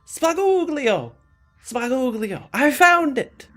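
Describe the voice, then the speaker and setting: A man voicing an excitable male restaurant worker who shouts about a discovery. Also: extremely high pitch, takes it slowly, faint music behind, voice pushed up, full character voice. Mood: excited. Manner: shouting, overjoyed.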